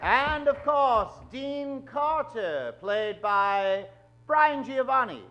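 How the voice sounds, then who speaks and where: Suave male mystery narrator played by a stage actor, 1940s radio drama, slow, dramatic, drawn-out suspense. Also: very high-pitched, lively intonation, pushed and bright, highs cut off, pitched above the performer's own voice, heavily acted. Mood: storytelling. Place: theater stage. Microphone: vintage-style stand microphone.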